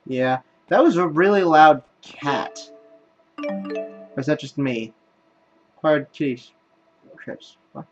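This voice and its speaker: A man's voice: a little high, takes it slowly, pauses often, muffled recording. A man hosting a casual let's play, thinking aloud in a rambling, self-deprecating way. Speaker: gaming video host. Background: game music and sounds.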